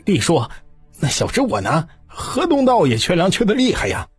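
男人演绎的急切讨好的晚辈官员，声音沙哑. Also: male voice, high-pitched, huge pitch swings, normal speed, breathy edge, no echo, soft background music, voice pushed up, heavily acted. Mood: anxious. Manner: anxious to please, deferential. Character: younger male official, eager deferential nephew figure.

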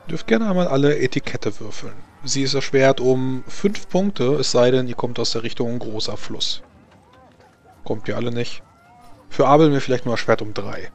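A man narrating a tabletop roleplaying game as game master, in a halting way. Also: medium pitch, vocal fry.

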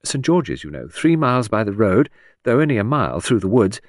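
Man playing a fluttery aristocratic old lady, rambling, breathless, trilling highs, dry close sound. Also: very animated, a little fast, no room sound. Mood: chattering. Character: fluttery, vague, chattering aristocratic older woman. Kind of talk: audiobook character voice.

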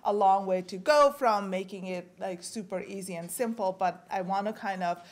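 A woman's voice: mid-range voice, moderate pace. A woman in her forties from Bangladesh giving an academic talk at a podium microphone, with frequent fillers and restarts. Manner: casual, many fillers and restarts. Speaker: guest lecturer. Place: lecture hall at a podium.

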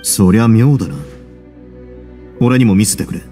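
An actor playing a composed, wry prison duke in a Japanese game, measured and courteous. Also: male voice, fairly low pitch, mellow, soft background music, close to an everyday voice. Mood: calm, serious. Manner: measured, wry, self-assured.

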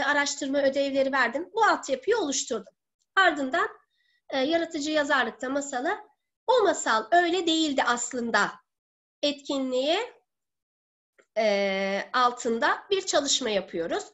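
A woman in her thirties, a teacher presenting in an online seminar from home, explaining step by step in a halting way. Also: high voice, fairly bright tone. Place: living room at home. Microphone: laptop or webcam video call.

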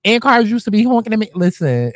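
A young woman, reacting to clips in loud, brash, joking casual commentary, swearing often. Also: medium pitch, big pitch swings, brisk, no echo, studio quality.

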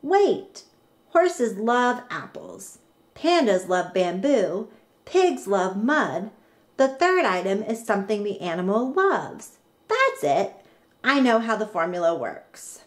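An eager, inventive little storybook girl, played by a woman reader. She is dramatic and determined, thinking aloud with emphatic exclamations. Her pitch jumps around freely, with drawn-out words. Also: sing-song. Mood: puzzled, then excited.

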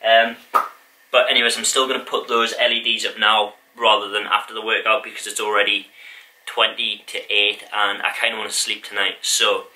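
A man's voice: lowish voice, level tone, moderate pace, bright, forceful tone. A young man chatting casually to camera in a small home garage gym, rambling and self-deprecating, recorded on the camera's own mic. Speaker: fitness vlogger. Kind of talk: casual vlog talk to camera. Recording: noisy home camera audio.

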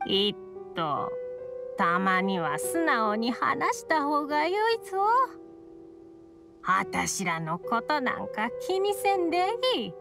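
Creaky old village granny. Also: female voice, fairly high pitch, very animated, over music, exaggerated voice. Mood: gentle, frank. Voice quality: creaky, aged. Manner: slow, folksy elderly speech. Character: frank, selfless old village woman. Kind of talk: Japanese anime game voice acting.

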